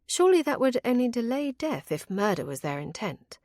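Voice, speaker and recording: A composed, caring young lady of a historical audiobook, voiced by a woman narrator. The speech is formal and gently firm, lifted higher than the narration, and dry. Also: big pitch swings, fairly quick, no room sound. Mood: puzzled. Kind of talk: audiobook character voice.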